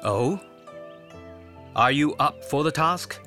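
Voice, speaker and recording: Slow, stately emperor. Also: male voice, a little high, expressive, pushed and bright, heavily acted. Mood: regal, thoughtful. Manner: regal, measured. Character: stately, gracious Chinese emperor with grand ambitions. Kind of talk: radio drama acting. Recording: music behind the voice.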